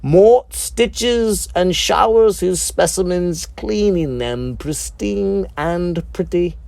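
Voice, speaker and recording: Slow, measured man narrating a macabre tale. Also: high-pitched, vocal fry, darkish tone, no room sound. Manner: deliberate, dramatic storytelling with measured pauses. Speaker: author reading own script. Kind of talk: scripted dark comedy narration, audiobook reading.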